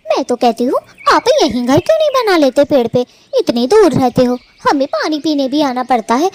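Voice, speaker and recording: High, lively, vain young female bird voiced by a woman. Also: a little hiss or hum. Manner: coquettish, self-admiring, chatty. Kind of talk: animated story dialogue.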